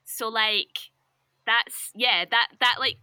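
A young woman, a researcher, chatting casually on a podcast, full of "like" fillers and quick laughs. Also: on the high side, sharp tone, clear, resonant tone, no echo, clean studio sound. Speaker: linguistics researcher guest.

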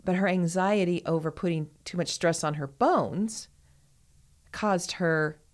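A woman's voice: on the low side, measured pace. A woman co-hosting a podcast in casual conversation, stressing points by repeating words. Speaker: podcast co-host.

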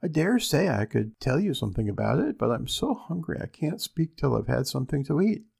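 A man's voice: mid-range voice, sing-song, a little fast, gravelly, a little mellow, slightly rough, exaggerated voice. A man voicing a cheeky, hungry young lad in a storybook tale, with a raised youthful voice. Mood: wheedling.

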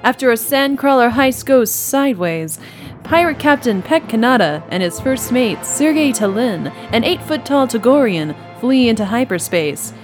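A woman's voice: a little high, lively intonation, normal speed. Woman narrating a scripted intro over music. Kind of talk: scripted opening narration, audio drama.

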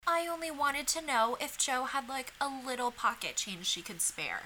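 An actress voicing a whiny, dramatic teenage little sister with a pleading manner in a modern audio drama. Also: female voice, high-pitched, lively intonation, normal speed, pushed and bright, slight background noise, rough recording. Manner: dramatic, pleading, uses teen slang.

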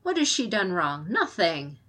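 A woman reading the lines of an indignant, passionate female advisor in an audiobook, rising in loudness as she argues. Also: medium pitch, sing-song, unhurried, exaggerated voice. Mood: impassioned.

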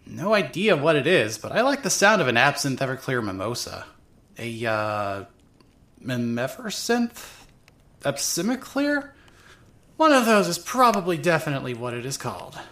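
Woman acting a tipsy, swearing young woman, very animated. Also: rumbling low, a little slow, full character voice. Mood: tipsy, rambling. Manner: rambling, drunken hesitations, sarcastic swearing. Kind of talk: first-person dramatic narration of a fan audio drama.

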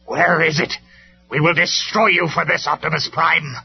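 A man's voice: on the high side, flat delivery, normal speed, vocal fry, voice pushed up. A man voicing a tyrannical robot warlord in a storybook reading, with a derisive, menacing manner. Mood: furious, anxious. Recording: dull lo-fi recording with music.

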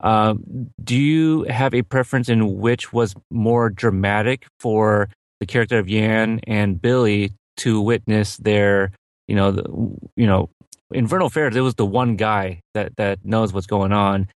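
A man's voice: lowish voice, a little slow, dry recording. A man whose second language is English, co-hosting a casual film discussion and hesitating with many fillers. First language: not English. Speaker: film podcast co-host.

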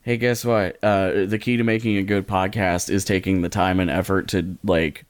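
A man's voice: sits low, flat delivery, moderate pace. A man co-hosting a podcast in casual chat, joking and rambling with frequent swearing. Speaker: podcast co-host.

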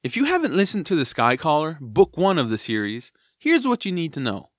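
A man's voice: on the high side, huge pitch swings, a little fast, no echo, studio quality. A man reading a scripted introduction as narrator of a fantasy audio series, with music behind him. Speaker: narrator and co-creator.

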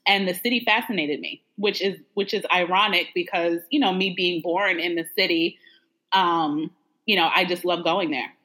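Frank, bright-voiced woman guest. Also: lowish voice, steady tone, normal speed, low-quality recording. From New York. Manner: frank, conversational, lots of "like" and "you know". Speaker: guest, adoptee. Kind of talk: casual interview.

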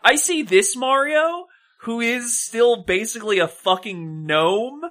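A podcast co-host chatting casually about films in an animated, opinionated way, with some swearing. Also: mid-range voice, unhurried. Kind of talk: casual film discussion chat.

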